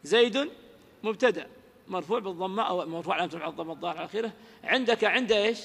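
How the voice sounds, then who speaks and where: A man teaching grammar in a lecture, quizzing his listeners with rhetorical questions and reciting verse lines slowly. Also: high voice, expressive, gravelly, a bit bright. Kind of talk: lecture explaining a classical grammar poem. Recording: lecture audio with long hall reverb.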